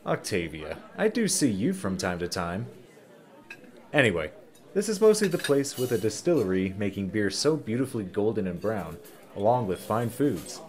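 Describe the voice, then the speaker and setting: A man playing a chatty, easygoing small-town local with a folksy, expressive manner in an audio drama. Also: lowish voice, moderate pace. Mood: genial, chatty.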